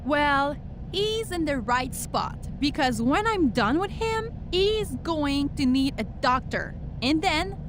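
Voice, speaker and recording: A woman playing an irritated, vengeful character in a wrestling video game cutscene, with a drawn-out, threatening delivery. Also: high-pitched, sing-song, noisy background, exaggerated voice.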